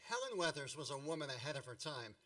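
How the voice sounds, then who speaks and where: Male radio play narrator, an actor, wry and nostalgic, mock-grand delivery, highish bright voice, a little off-mic. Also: very animated, quick pace, recorded from a distance. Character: grown man wryly recalling his Indiana boyhood.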